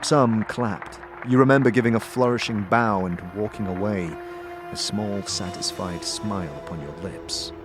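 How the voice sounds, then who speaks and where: Low-voiced male narrator and lead, a bit hesitant. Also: normal speed, loud music behind the voice. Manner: hesitant, with filler "uh"s in dialogue. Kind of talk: audio drama narration and acting.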